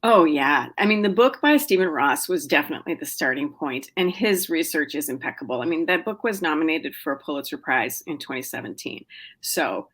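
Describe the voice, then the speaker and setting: A middle-aged woman speaks with a low, easy voice as she answers an interviewer's questions about her writing. She talks casually and chattily, with frequent ums and restarts. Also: expressive, brisk, fairly bright tone, slightly rough. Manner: chatty, many fillers and restarts. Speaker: novelist guest.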